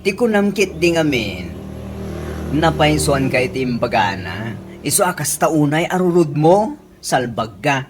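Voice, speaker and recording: A woman's voice: sits low, very animated, unhurried. A woman with an Ilocano accent playing a blunt, teasing, camp village friend in a comedy radio drama, sassy and reproving. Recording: noisy home-made radio drama recording.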